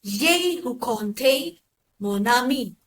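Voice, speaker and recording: A woman modelling sentences for a language lesson, enunciating each phrase clearly and evenly. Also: fairly high pitch, lively intonation, extremely slow. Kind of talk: slow model repetitions of sentences.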